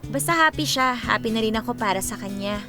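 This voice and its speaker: A confident, big-hearted young Filipina played by a woman in a radio drama, pitched on the high side. She is breezy and playful, slipping between Tagalog and English, with music clearly playing underneath. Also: fairly quick, natural voice. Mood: easygoing, advising.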